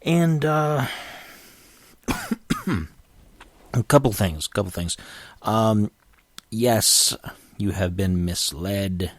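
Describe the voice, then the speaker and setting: A man's voice: fairly low pitch, very slow, long pauses, no echo. A man hosting a casual solo podcast, sniffly and coughing from a cold, rambling with frequent restarts. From United States. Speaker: podcast host.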